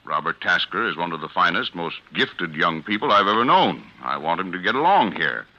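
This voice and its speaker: A low, very bright and forceful voice of a middle-aged American lawyer, avuncular and earnest, reassuring as a kindly boss. It is 1940s radio drama, muffled by the old recording. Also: male voice, big pitch swings, brisk, heavily acted. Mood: placating. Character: fatherly, reassuring older male law boss. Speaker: defense attorney, head of a law office.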